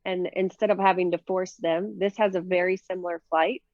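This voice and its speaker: A woman's voice: fairly low pitch, fairly even intonation, fairly quick, darkish tone, no echo, dull audio. A woman from Minnesota with a Minnesota accent, chatting casually in an interview with many fillers and easy laughs. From Minnesota, USA. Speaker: professional disc golfer, guest.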